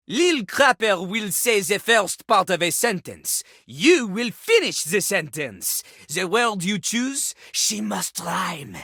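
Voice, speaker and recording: A woman's voice: medium pitch, fairly bright tone, dry recording, studio quality, exaggerated voice. A woman voicing a cocky, ruthless talking toilet rapper in a video game, with a brash, mocking, grandiose manner. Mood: boastful, instructing. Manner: brash, mocking, grandiose, speaks of self in third person. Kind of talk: animated video game character acting.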